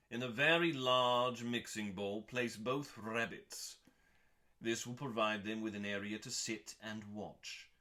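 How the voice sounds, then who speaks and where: A man giving a dramatic, mock-serious scripted reading as a host, pompous, with long pauses and drawn-out emphasis on words. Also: medium pitch, a bit bright. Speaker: host reading aloud from a cookbook.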